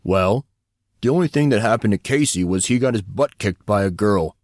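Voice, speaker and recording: A man's voice: on the low side, lively intonation, moderate pace, no echo, clean studio sound, close to an everyday voice. A man voicing a gloomy, lovesick, indignant teenage boy with a sulky manner in an audiobook story.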